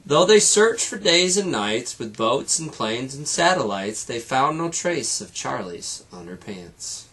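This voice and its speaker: A man's voice: mid-range voice, very animated, unhurried, fairly bright tone, husky. A man reading a children's picture book aloud like a teacher, with rhythmic, dramatic delivery and playful asides.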